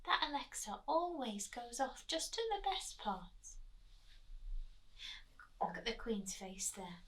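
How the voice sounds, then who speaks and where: A woman reading a children's storybook aloud in an expressive, dramatic way, as if to young listeners. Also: fairly high pitch, slow. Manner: dramatic, asks listeners questions, drops to whispers. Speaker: storytime reader, teacher.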